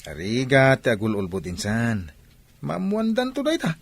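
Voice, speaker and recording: A man plays an exasperated village cousin in an Ilocano Filipino radio comedy. He chides and teases at a middling male pitch that rises and falls with lots of animation. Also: wildly swooping pitch, moderate pace, no echo. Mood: chiding.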